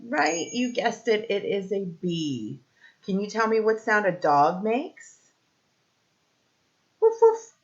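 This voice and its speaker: A woman teacher leading a read-aloud lesson for young children, asking simple questions, pausing for answers and encouraging them. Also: medium pitch, wildly swooping pitch, lo-fi sound.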